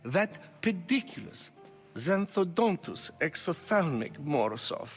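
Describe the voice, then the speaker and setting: A vain, theatrical Greek playwright. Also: male voice, on the high side, very animated, slow pace, faint music behind, exaggerated voice. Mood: wry. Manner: self-mocking, grandiloquent, witty. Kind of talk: video game cutscene acting.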